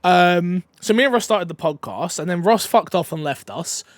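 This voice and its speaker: A man with a notably high speaking voice hosts a casual gaming podcast. He talks in an excitable, joking way and laughs easily. The sound is dry and close, with no room around it. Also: normal speed, no room sound. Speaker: podcast host.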